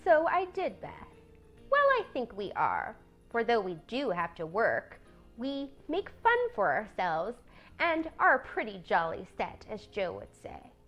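Storybook elder sister, teenage girl woman acting: prim, earnest, animated, music behind. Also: mid-range voice, a little slow, lo-fi sound, full character voice. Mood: longing. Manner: earnest, lecturing.

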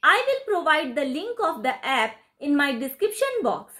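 A woman's voice: high-pitched, big pitch swings, normal speed, sharp tone. A middle-aged Indian woman, a native Hindi speaker from West Bengal, speaking earnestly as an English learner and video host. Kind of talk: earnest spoken English practice and presenting.

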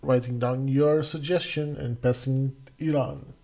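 A middle-aged man from Portugal, hosting a casual livestream Q&A as community manager, halting with many fillers and restarts. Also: mid-range voice, fairly even intonation, warm tone. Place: home room, indoors. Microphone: headset.

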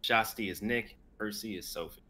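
Young man, lowish pitch, bright forceful voice, casual chat, slight background noise. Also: moderate pace. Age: 20s. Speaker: member of a script-reading group. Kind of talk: casual group chat on a livestream. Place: indoors at home, video call. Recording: noisy video call audio.

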